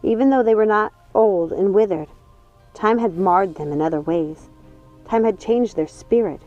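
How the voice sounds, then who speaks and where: A woman's voice: medium pitch, normal speed, darkish tone. A woman reading a storybook as a scripted audiobook narration. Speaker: audiobook reader. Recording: dull lo-fi sound, music underneath. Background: music.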